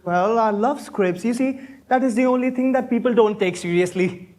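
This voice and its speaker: A man playing a flippant, idle young Victorian gentleman in a stage comedy, breezy and theatrical. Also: extremely high pitch, normal speed. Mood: flippant, amused.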